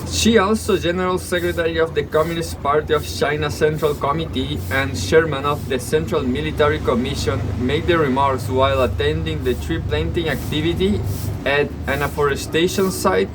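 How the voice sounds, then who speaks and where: Guy reading news, kinda high voice, stumbles and repeats words, loud ac hum behind him. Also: steady tone. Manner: halting, repeats words, sarcastic asides. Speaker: news commentator. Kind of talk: reading news with off-the-cuff commentary.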